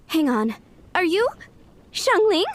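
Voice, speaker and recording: Proud young cook for a game: high, expressive voice, brisk, frank, self-assured. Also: female voice, heavily acted. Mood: astonished. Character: proud, exacting, busy young woman cook. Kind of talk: video game voice acting.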